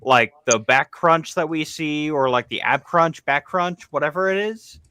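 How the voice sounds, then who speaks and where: A man's voice: on the high side, a little slow, pushed and bright, clean tone, no echo, studio quality. A man chatting as a panelist on a livestream, often restarting and repeating his phrases. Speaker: panelist on a toy review livestream. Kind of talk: casual group discussion. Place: online livestream call.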